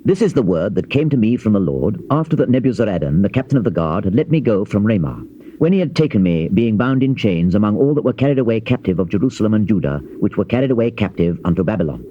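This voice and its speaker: A muffled, faintly noisy cassette recording of a man narrating scripture. His voice is mellow and solemn, rising and falling widely as he declaims in a measured, theatrical way. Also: lowish voice, big pitch swings. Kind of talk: dramatized Bible reading, theatrical scripted narration. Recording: dull lo-fi cassette with noise.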